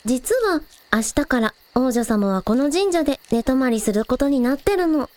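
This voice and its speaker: A woman's voice: sits high, animated, moderate pace, a little mellow, no room sound. A woman playing a spirited, caring young village girl who leads her team, casual and earnest, in a radio drama. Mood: confident.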